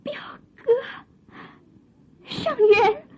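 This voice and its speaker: An actress playing a delicate, sorrowful young maiden in a Chinese radio drama, with plaintive, drawn-out, theatrical delivery. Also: female voice, very high voice, heavily acted. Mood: stunned, tremulous. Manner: plaintive, drawn-out, breathless exclamations. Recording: dull, lo-fi radio drama recording.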